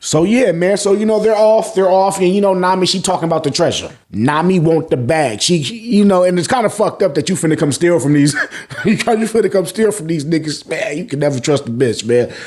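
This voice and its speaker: Animated American man recapping manga. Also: fairly high pitch, brisk. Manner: animated, profane, repeats phrases for emphasis. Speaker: host of a manga review show. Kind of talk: casual, slangy chapter recap commentary.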